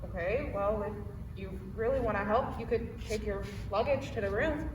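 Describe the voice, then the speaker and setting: A woman's voice: medium pitch, normal speed, natural voice. Woman acting a frantic young woman, echoey and distant. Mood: puzzled, weary. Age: young adult. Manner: ranting, exasperated, sweary. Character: frantic, guilt-ridden young woman fed up with her girlfriend. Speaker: student actor. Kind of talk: student stage play acting. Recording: echoey hall, distant mic, noisy.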